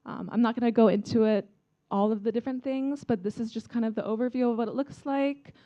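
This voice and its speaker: A woman's voice: on the high side, steady tone, fast talker, dark tone, lo-fi sound. A young woman with an American accent gives a friendly, informal presentation to students as an embassy official. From China. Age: young adult. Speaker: embassy vice consul presenting. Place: event talk before an audience.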